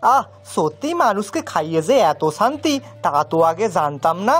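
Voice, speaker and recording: A woman's voice: lowish voice, sing-song, normal speed, soft background music. A woman voicing a simple, kind-hearted village man in a children's cartoon, earnest and folksy. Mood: kindly.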